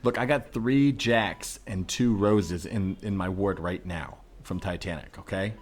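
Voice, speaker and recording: A man's voice: deep voice, unhurried, breathy edge. A man playing an earnest, unflappable psychiatric doctor in an improvised comedy sketch, explaining things hesitantly.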